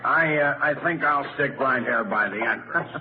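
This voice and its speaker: A man's voice: medium pitch, moderate pace, close to an everyday voice. Man acting American police sergeant, slangy, skittish, wisecracking, narrow noisy 1930s radio sound. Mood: jittery, wisecracking. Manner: wisecracking, colloquial, hesitant bluster. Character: slangy, nervous tough-guy police sergeant. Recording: noisy, tinny 1939 radio broadcast.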